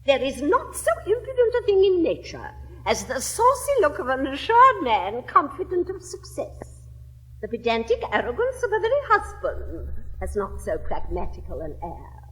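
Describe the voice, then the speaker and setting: A woman's voice: high voice, huge pitch swings, moderate pace, fairly bright tone, in a large hall, heavily acted, low-quality recording. An English actress in her seventies playing a witty, capricious young lady of fashion, with an affected, swooping theatrical drawl. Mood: teasing. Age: 70s. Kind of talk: 1960s classic stage recording.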